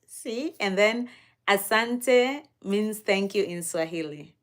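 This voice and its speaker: A Kenyan woman hosting a livestream, chatting casually and answering viewer comments in an unhurried, chatty way. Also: medium pitch, expressive. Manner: unhurried, chatty, with fillers and soft laughs. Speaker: livestream host.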